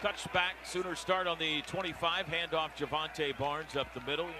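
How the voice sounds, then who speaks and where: American male play-by-play radio caller, higher register, terse urgent phrasing, noisy stadium broadcast. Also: moderate pace. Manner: clipped, urgent running description. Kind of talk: live American football radio play-by-play commentary. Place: outdoor football stadium. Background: steady stadium noise.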